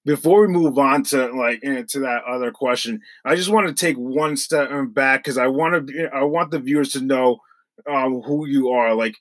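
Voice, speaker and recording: A man's voice: medium pitch, moderate pace, no room sound. A man interviewing a guest in a friendly, supportive way, often repeating words as he goes. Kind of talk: casual interview talk.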